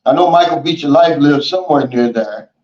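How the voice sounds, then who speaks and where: Easygoing male livestream host with a slightly high voice, chatty and folksy; roomy, dull lo-fi sound. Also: fairly quick. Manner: relaxed, folksy, often says "man" and "you know". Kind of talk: casual livestream chat, reading viewer comments.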